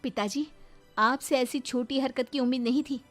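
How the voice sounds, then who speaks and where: A woman's voice: high-pitched, steady tone, moderate pace, voice pushed up, close to an everyday voice. A woman voicing a young, outspoken, protective daughter-in-law in a family story. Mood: reproachful. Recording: faint background music.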